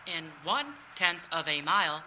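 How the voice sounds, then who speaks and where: A woman voicing a stubborn GPS navigation machine in a live comedy audio play, measured, clipped and insistent. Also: lowish voice, animated, pushed and bright, a little hiss or hum. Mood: neutral.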